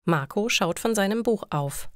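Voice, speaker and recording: A woman reading scripted stories for language learners in a clear, measured storytelling manner. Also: mid-range voice, animated, no echo, studio quality.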